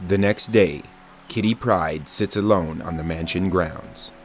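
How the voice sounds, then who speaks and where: A man's voice: sits low, measured pace, soft background music. A man narrating a scripted audio drama in descriptive present-tense storytelling.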